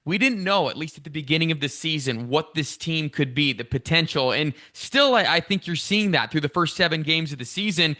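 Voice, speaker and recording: Bright, forceful male sports announcer. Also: a little high, lively intonation, fairly quick, hardly any pauses. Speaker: radio play-by-play announcer, interview guest. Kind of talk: sports interview commentary.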